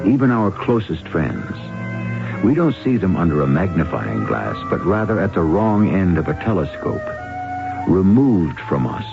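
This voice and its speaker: An older man narrating a 1970s radio drama in a measured, theatrical, ominous manner. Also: low voice, vocal fry. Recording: dull old radio broadcast with music.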